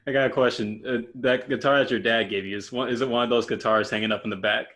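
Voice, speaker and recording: A man's voice: medium pitch, steady tone, fairly bright tone. Young guy hosting a podcast, lots of um and uh, restarts everywhere. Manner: halting, many fillers and restarts. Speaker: podcast host. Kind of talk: casual interview chat.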